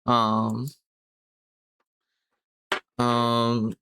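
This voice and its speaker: A man's voice: medium pitch, completely flat delivery, very slow, bright, forceful tone, a little hiss or hum. A man running a tabletop game as game master, improvising rulings in an explanatory way with some searching for words.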